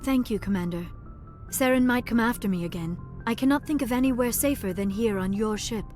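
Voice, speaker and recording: A woman's voice: on the high side, fairly quick, darkish tone, natural voice. A woman playing an earnest, bookish young alien scientist in a video game, speaking formally and precisely. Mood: grateful, apologetic. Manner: formal, precise wording with few contractions. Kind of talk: video game cutscene acting. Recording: music behind the voice.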